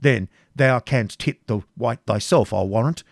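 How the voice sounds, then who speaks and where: A man's voice: mid-range voice, measured pace, no room sound, studio quality. A man voicing a fiery, scornful medieval prince in a storybook reading, with commanding archaic speech. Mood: challenging.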